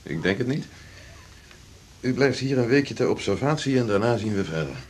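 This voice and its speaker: An actor in a radio drama playing a curt, authoritative senior hospital doctor with a brusque manner. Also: male voice, lowish voice, expressive, moderate pace, a little mellow, natural voice. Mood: curt, cool. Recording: faint background noise.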